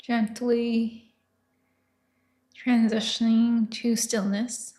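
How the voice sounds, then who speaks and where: Woman meditation guide, very slow and soothing, even-toned delivery in a roomy, echoing space. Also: on the high side. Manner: soft, unhurried, soothing instruction with long pauses.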